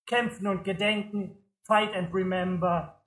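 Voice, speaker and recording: A man reciting memorial poetry in a solemn, declamatory spoken-word style, with measured, rhythmic phrasing. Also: high voice, steady tone, fairly bright tone, reverberant. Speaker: poet reciting at a political memorial.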